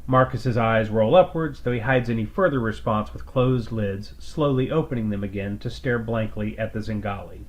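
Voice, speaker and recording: A man's voice: on the low side, moderate pace, hardly any pauses. A man narrating a scripted science fiction story as its storyteller.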